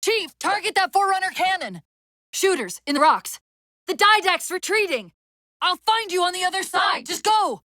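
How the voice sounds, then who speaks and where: A woman voicing an urgent, clever military AI companion in a video game, wry and earnest, giving crisp tactical briefings with an undercurrent of strain. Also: very high voice, expressive, normal speed, pushed and bright, heavily acted. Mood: urgent, alarmed.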